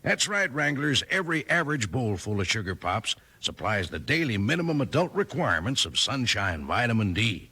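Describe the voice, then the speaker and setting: An actor playing a folksy, enthusiastic cowboy pitchman with an American accent in a 1950s radio commercial. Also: very animated, normal speed, few pauses, sharp tone, slightly rough, full character voice. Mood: hearty, selling. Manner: folksy, hearty salesman talk.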